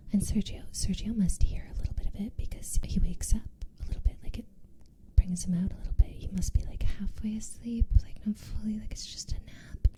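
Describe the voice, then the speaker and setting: Whispering woman recapping a story. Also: medium pitch. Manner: casual, lots of "like".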